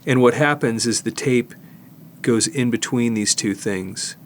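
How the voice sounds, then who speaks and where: An older man giving a casual hands-on demonstration, explaining haltingly and thinking aloud as he works. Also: mid-range voice, slight background noise. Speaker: host, tape digitizing technician. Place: studio, indoors.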